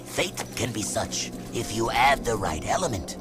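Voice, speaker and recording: Solemn, expressive desert warlord. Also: male voice, mid-range voice, unhurried, music in the background. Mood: solemn, encouraging. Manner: solemn, formal, philosophical. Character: stern, wise desert warlord, solemn fatherly leader. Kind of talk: video game cutscene acting.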